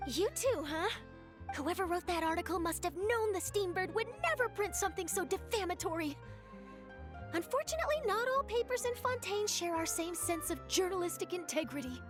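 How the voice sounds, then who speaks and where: An eager young game journalist with a very high, bright voice. She speaks earnestly and articulately, with game music running underneath. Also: lively intonation, moderate pace. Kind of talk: video game voice acting.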